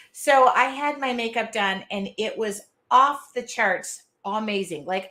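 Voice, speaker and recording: A chatty woman with a Midwestern accent and a nasal voice, pitched a little high. She hosts a casual live stream from her art studio, talking loudly and teasing herself as she goes. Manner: loud, chatty, self-deprecating.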